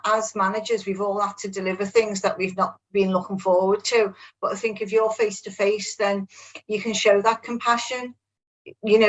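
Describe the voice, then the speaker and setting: A middle-aged woman contributes to a group discussion. Her pitch is average and stays quite flat, and she comes across as earnest and plain-spoken. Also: poor audio. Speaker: manager taking part in a webinar. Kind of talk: sharing reflections in a group discussion. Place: online video call.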